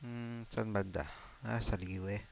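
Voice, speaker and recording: A man's voice: on the low side, steady tone, a little slow, creaky, highs cut off, heavy background noise. A man with a Filipino accent giving casual live gameplay commentary in short, muttered remarks to himself. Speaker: game livestreamer. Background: game music.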